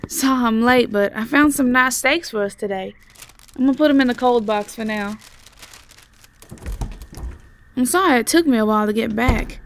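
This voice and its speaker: Unhurried sweet Southern belle, played by a woman. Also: a little high, vocal fry, exaggerated voice. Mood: affectionate, cheerful. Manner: folksy, gentle, hesitant. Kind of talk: fantasy audio roleplay acting.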